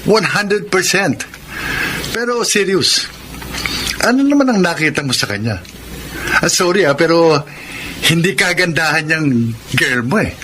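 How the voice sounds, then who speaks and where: An actor playing a teasing, chatty young friend in a radio drama, with playful, exaggerated intonation. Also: male voice, fairly high pitch, lively intonation, a little slow, pauses often, background noise. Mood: mocking.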